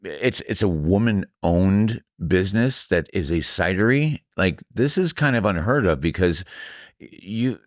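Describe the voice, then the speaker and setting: A man's voice: low-pitched, animated, unhurried, dry recording, studio quality. A man hosting a casual bar podcast interview, teasing his guest and steering the talk along. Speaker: podcast host.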